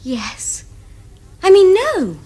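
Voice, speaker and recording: A prim young society girl with a romantic streak in period television comedy. Her upper-class English voice is very high and animated, earnest and precise. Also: drawn-out words, heavily acted.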